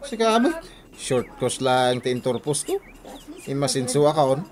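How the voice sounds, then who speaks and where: Easygoing young son, unhurried. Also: male voice, medium pitch, very animated, crowd noise. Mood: relaxed, joking. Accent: Filipino. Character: tipsy young graduate son, easygoing, dutiful. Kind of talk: radio comedy-drama acting.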